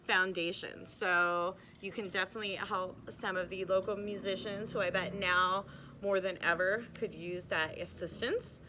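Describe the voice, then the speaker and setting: A young woman reads a rhyming children's book aloud in a bright, clear voice. She keeps a steady, bouncing rhythm and sometimes restarts a stumbled word. A faint hiss sits under the recording. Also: mid-range voice, rough recording. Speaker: reader.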